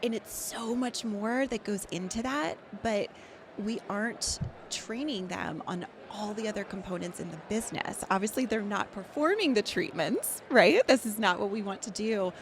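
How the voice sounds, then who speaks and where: Female expert guest, mid-pitched, emphatic and instructive, conversational interview delivery over clearly audible ambient noise. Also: expressive, normal speed. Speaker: practice management consultant and guest speaker.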